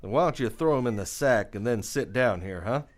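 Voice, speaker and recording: An easygoing, weary former lawman of the Old West, played by a male actor in a radio western. His voice sits at a middle pitch, American and plainspoken, with few words to spare. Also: expressive, moderate pace, close to an everyday voice. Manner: laconic, plainspoken.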